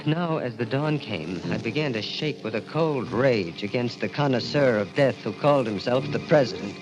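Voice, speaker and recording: A man's voice: mid-range voice, animated, moderate pace, lightly acted, poor audio. Man playing an anguished, dramatic young radio narrator. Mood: haunted, brooding. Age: young adult. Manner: dramatic, intense. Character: anguished, guilt-ridden young man narrating his crime. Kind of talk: 1940s radio mystery acting. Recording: old radio recording with music behind.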